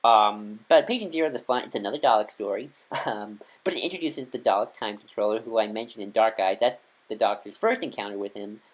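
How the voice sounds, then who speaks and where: Hesitant, rambling man, casual fan vlog. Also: fairly low pitch. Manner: hesitant, rambling, halting and self-correcting. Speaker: vlogger reviewing audio dramas. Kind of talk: casual unscripted fan recommendation talk.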